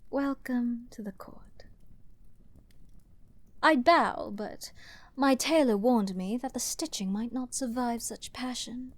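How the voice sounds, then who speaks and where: A woman's voice: a little high, no room sound. Woman acting out a haughty, flirty, menacing princess, dry close sound. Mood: flirty, mocking. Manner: haughty, theatrical, drawn-out phrasing with hushed breathy asides. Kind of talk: dramatic ASMR roleplay audio acting.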